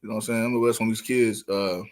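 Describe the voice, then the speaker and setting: A man hosting a casual podcast interview, speaking in informal slang with halting restarts. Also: lowish voice, fairly even intonation. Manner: informal slang, halting restarts, "you know what I'm saying". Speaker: podcast host.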